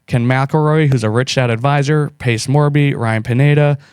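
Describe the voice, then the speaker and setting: A man's voice: mid-range voice, fairly even intonation, moderate pace, clear, resonant tone, dry recording. A man hosting a podcast, giving an enthusiastic introduction of his guest on a studio microphone. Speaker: podcast host.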